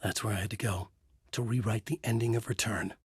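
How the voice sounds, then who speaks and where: An actor playing a tormented thriller writer trapped in a horror story in a video game, with a grave, introspective, intense delivery and a husky voice. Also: male voice, lowish voice, fairly even intonation, fairly quick, no echo, clean studio sound. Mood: bleak, guilt-ridden. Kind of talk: brooding dramatic game dialogue and noir monologue.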